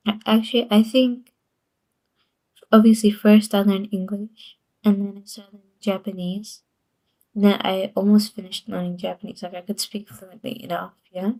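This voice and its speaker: A woman's voice: mid-range voice, dark tone. A woman streamer chatting casually on a livestream, rambling and giggling often between sentences.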